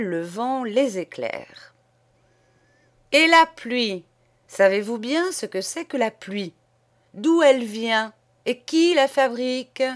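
A woman's voice: medium pitch, pitched above the performer's own voice. A woman voicing an agitated old male parish priest who preaches hellfire and sputters with outrage.

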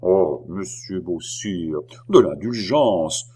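A man voicing a calm, mocking gentleman stranger, politely ironic, in a storybook reading. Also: medium pitch, measured pace. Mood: dryly mocking.

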